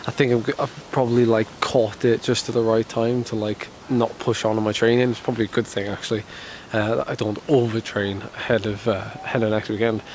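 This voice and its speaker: A man's voice: fairly low pitch, flat delivery, fairly quick, few pauses, a little mellow. Vlogging cyclist, male, from the UK, a bit congested, rambling and casual, with faint noise behind. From United Kingdom. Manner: rambling, many fillers and restarts. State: ill, slightly congested. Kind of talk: casual vlog talk to camera.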